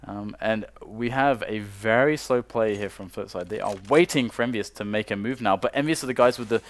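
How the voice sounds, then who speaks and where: A man casting a competitive video game match from a booth, calling the action quickly and rising sharply at big plays. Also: on the low side. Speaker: esports caster. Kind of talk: play-by-play commentary.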